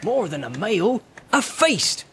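A man's voice: high voice, very animated, slow pace, a bit bright, slight background noise, voice pushed up, full character voice. A man reading aloud, voicing a cheerful young male hobbit.

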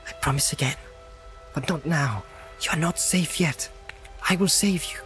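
A man voicing an earnest young male hobbit in an audiobook, pleading and coaxing with gentle, firm command. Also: on the high side, normal speed, faint music behind, voice pushed up, close to an everyday voice. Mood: reassuring, earnest. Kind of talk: audiobook character voice.

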